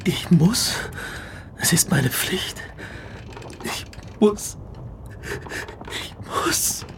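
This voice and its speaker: A man acting an exhausted, tormented, driven castaway with weak, halting speech in a radio play. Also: high-pitched, big pitch swings, heavily acted. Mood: desperate, resolute. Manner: halting, broken phrases. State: weak, weary. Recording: clear noise, ambience behind.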